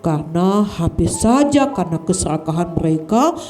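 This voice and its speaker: A woman telling a children's story in a lively, sing-song way, often addressing the children as friends. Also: on the low side, moderate pace, a little hiss or hum.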